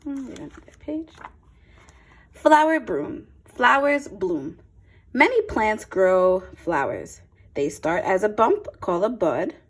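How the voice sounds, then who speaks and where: Female primary teacher for a picture book read-aloud: slow, deliberate, a little husky, explaining simply to little kids. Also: mid-range voice. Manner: simple explanatory asides to young listeners; expressive, deliberate phrasing for children. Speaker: teacher reading aloud to young children.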